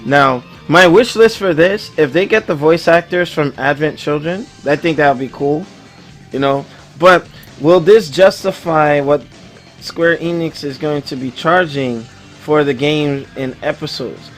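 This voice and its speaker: A man hosting a casual group chat show, speaking in an informal, slangy and excitable way. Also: fairly high pitch, measured pace, faint music behind. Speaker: host.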